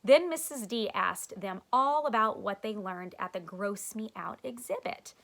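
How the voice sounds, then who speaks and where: A woman's voice: mid-range voice, a little slow, creaky. A woman teacher reading a children's storybook aloud, expressively, with explanatory asides for young listeners.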